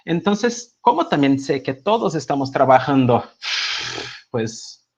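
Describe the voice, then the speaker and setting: A middle-aged man, non-native in Spanish, with a Mexico City accent tinged by Buenos Aires Spanish, teaching a seminar in a relaxed, conversational way full of hesitations and tag questions, over a video call. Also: medium pitch, slow pace. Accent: Mexico City Spanish with some Buenos Aires Argentine. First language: not Spanish. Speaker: seminar instructor. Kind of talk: live online lecture. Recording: slightly dull lo-fi video call audio.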